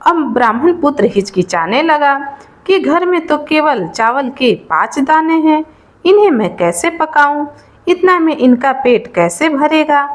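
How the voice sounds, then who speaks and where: A woman's voice: high voice, expressive, measured pace. A woman narrating a devotional folk tale in an informal oral storytelling style, explaining and rephrasing as she goes.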